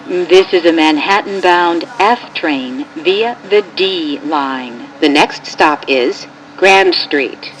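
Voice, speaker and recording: An American woman announces train service in slow, formal, neatly separated phrases. Her voice sits a little low for a woman. It is a recorded announcement captured from a train loudspeaker, with light subway noise around it. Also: rough recording. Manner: measured, formal, clearly segmented phrases. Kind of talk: scripted automated transit announcement. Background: subway train ambience.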